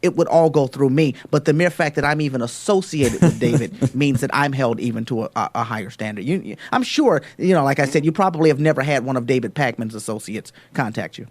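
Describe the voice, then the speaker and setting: A man improvises a prank phone call with a straight, mock-serious delivery. He rambles along at an average pitch, and the sound is dry, with no room echo. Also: fast, few pauses. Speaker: prank caller, radio show host.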